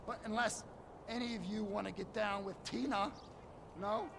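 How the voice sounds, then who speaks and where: An anxious male crime-crew middleman in a game cutscene. His voice sits fairly high, and he rambles and hesitates, eager to please and always correcting himself. There is clear noise and a hum behind him. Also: steady tone, normal speed. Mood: rambling. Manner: rambling, hesitant, nervously backtracking. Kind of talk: video game cutscene acting.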